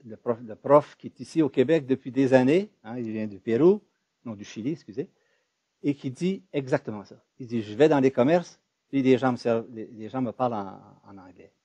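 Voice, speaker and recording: A man from Ontario, moderating an academic discussion in an emphatic, animated way. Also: mid-range voice, a little fast, no room sound, lo-fi sound. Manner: emphatic, animated, rising loud on key points.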